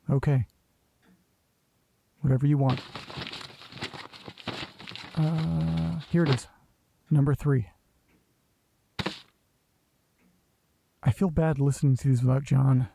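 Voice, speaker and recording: A man's voice: on the high side, fairly even intonation, takes it slowly, gravelly, long pauses, warm tone, slightly rough, heavily acted. An actor playing an anxious, self-berating man who mutters hesitantly to himself in a naturalistic audio drama.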